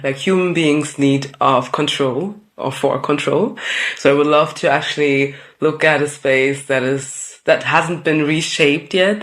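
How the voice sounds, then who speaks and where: Woman, thoughtful podcast guest, measured and unhurried. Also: low voice, fairly bright tone. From Germany. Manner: thoughtful, measured, with frequent um pauses. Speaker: guest, founder of an organization. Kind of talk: interview answers.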